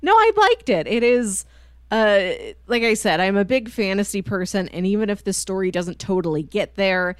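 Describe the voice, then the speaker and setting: A woman's voice: medium pitch, animated, moderate pace, clean tone, dry recording, studio quality. A woman co-hosting a podcast, talking casually and conversationally about books. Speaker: podcast co-host. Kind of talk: casual book discussion chat.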